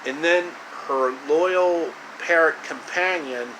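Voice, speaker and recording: Guy making up a story as he narrates, slow, halting, repeats himself, lots of hum behind him. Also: fairly high pitch. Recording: loud steady room hum and hiss.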